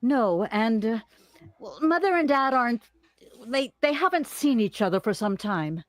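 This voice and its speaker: An actress playing an anxious, polite, hesitant young daughter in a 1930s radio drama. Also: female voice, on the high side, very animated, measured pace, dry recording. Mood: worried, hesitant. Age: young adult.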